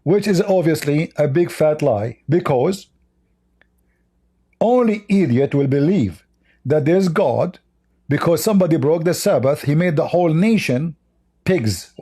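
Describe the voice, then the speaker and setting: A man's voice: on the high side, expressive, measured pace, dark tone, husky. A man who is a non-native English speaker, debating religion in an argumentative, repetitive way and cutting in on the other speaker. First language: not English.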